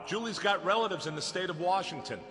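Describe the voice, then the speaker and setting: A man's voice: sits high, lively intonation, fast talker, pushed and bright, in a large hall. An actor playing a defiant, plain-spoken male colonist in a radio drama, blunt and earnest.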